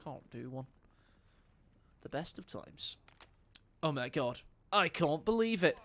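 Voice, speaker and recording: High-voiced playful male game caster. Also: expressive, slow, a bit bright. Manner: playful, jokey, chats to viewers. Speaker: esports caster. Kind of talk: live game commentary on stream.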